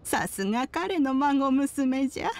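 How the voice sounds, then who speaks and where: A woman's voice: sits high, animated, slow, a little mellow, no echo, heavily acted. An actress playing a wise, kindly old grandmother in a video game, with archaic phrasing and a nostalgic air. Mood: fond, nostalgic. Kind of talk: video game character dialogue. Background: background music.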